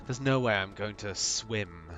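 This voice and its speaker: A man streaming a video game and chatting with viewers, thinking aloud with lots of ums, his voice gravelly from a recent cold. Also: on the low side, a little slow. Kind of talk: live gameplay commentary, chatting with viewers. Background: game music.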